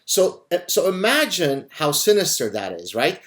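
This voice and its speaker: A man's voice: high-pitched, big pitch swings, a bit bright. A man from Lebanon whose first language is Arabic, hosting a conversation and telling stories emphatically, often checking in with the listener. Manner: emphatic, speaks fast, often checks in with "right?". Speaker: host. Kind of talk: casual conversation, animated storytelling.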